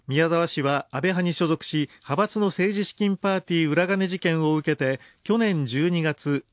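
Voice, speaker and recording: High-voiced man reading radio news. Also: dry recording, clean studio sound. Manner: formal, measured, clearly articulated. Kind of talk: scripted news bulletin reading. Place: radio studio.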